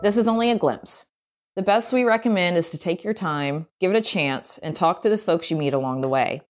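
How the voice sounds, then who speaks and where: A woman's voice: on the low side, fairly quick, soft background music. A woman reading a scripted travel essay aloud as narration. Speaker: essay reader.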